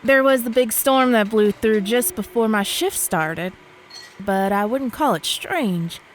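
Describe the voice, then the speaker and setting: An actress playing a casual, unfazed young fast-food server in an audio drama, politely serving a customer. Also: female voice, fairly high pitch, slight background noise, lightly acted. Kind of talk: naturalistic audio drama acting.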